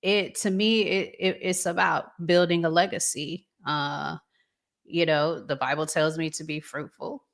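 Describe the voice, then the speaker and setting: A woman hosting a casual talk show, reading out audience comments and posing questions in a measured, deliberate way. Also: deep voice, fairly even intonation, gravelly.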